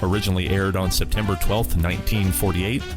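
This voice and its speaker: A man introducing old radio episodes as a modern station host, in a smooth, even delivery. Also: low voice, a little fast, loud music behind the voice. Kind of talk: modern presenter introducing archive shows.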